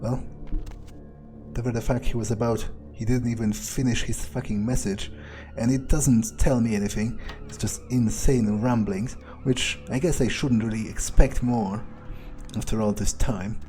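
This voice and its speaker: A man's voice: mid-range voice, fairly even intonation, normal speed, dark tone, soft background music, lightly acted. A man playing a cynical, foul-mouthed vampire in a video game, muttering impatiently as he thinks aloud. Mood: impatient, grumbling. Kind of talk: in-character video game playthrough monologue.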